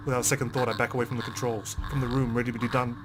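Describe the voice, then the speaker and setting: A man's voice: mid-range voice, flat delivery, very fast, poor audio. A man hosting a game playthrough, reading narration aloud expressively and dramatically. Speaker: gaming video host. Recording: game music behind the voice.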